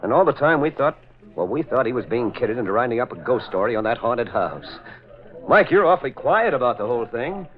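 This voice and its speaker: An actor playing a genial, inquisitive and teasing newspaper boss in a 1940s radio drama. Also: male voice, medium pitch, sing-song, a little fast, natural voice. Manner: bantering, questioning. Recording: dull vintage radio recording.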